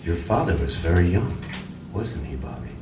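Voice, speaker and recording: Man playing a low-voiced, courteous alien visitor, distant. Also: very animated. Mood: gentle, curious. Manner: polite, measured, formal phrasing. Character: mild, courteous, curious male visitor from another planet. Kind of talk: stage radio drama acting. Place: community room of a public library. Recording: roomy, distant, noisy live library recording.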